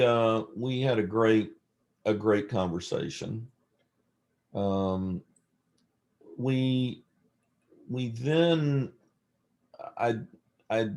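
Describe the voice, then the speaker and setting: A mature man being interviewed, telling stories casually and reflectively in a measured, halting manner. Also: on the low side, a little mellow. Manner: hesitant, measured, halting delivery. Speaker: interview guest, theologian.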